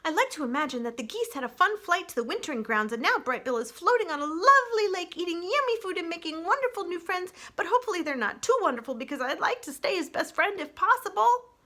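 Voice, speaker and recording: A woman's voice: extremely high pitch, big pitch swings, fast talker, sharp tone, pitched above the performer's own voice, full character voice. A woman voicing a chatty, eager, anxious young female animal in a children's storybook, rambling on breathlessly. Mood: chirpy, eager.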